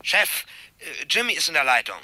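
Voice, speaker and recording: Newsroom deskman, high sharp voice, swooping, stammering, eager, flustered, radio crime drama. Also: sing-song, full character voice, poor audio. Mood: flustered urgency. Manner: hurried, stammering, urgent. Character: excitable, eager newspaper desk man pleading with boss. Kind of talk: German radio crime play acting.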